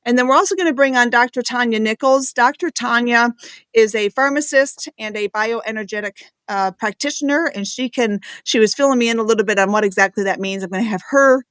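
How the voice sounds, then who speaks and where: A woman's voice: on the high side, fairly quick, fairly bright tone, clean tone, no room sound. Chatty woman host on a dry mic. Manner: chatty, hesitant with fillers. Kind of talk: live-stream hosting.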